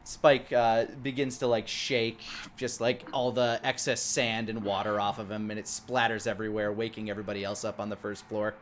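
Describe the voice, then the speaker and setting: A man improvising descriptive narration as the game master of a tabletop game, in a halting way. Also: fairly low pitch, flat delivery, a little hiss or hum.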